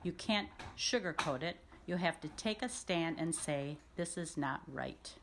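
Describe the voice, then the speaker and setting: A woman reading the words of a resolute civil rights activist from a picture book, firm and deliberate. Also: deep voice, lively intonation, noisy background. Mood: firm resolve. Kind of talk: read-aloud quotation.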